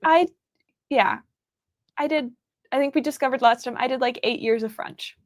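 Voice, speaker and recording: A woman hosting a casual livestream chat over a video call, relaxed and rambling. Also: high-pitched, moderate pace, dry recording, studio quality. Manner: relaxed and rambling, with frequent fillers.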